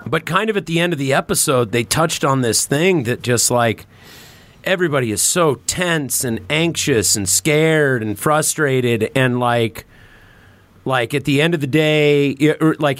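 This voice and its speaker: A man's voice: medium pitch, moderate pace, a bit bright, dry recording. A man hosting a casual podcast, chatting informally with swearing and banter. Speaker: podcast host.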